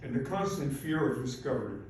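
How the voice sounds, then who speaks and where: A man's voice: medium pitch, big pitch swings, fairly quick. A man in his eighties from Poland, a native Polish speaker, giving a prepared, formal speech of personal testimony. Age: 80s. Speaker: invited speaker, Holocaust survivor. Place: large echoing room at a public event. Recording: very echoey hall, far off, faint noise.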